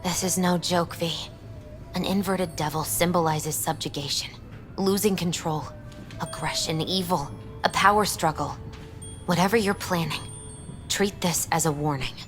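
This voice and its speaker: A woman plays a young female fortune-teller in a game, with a slightly low voice. She is earnest and mystical, choosing her words in a measured, solemn way. Light music runs beneath her. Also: close to an everyday voice. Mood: grave warning. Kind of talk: naturalistic video game acting.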